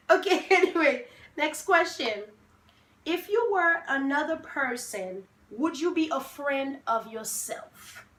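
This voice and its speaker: Woman, 20s, from Haiti; high bright voice, slow delivery, playful and confident, roomy echo. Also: expressive. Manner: playful, self-assured, laughs often. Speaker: vlogger host. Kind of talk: casual sibling Q&A chat.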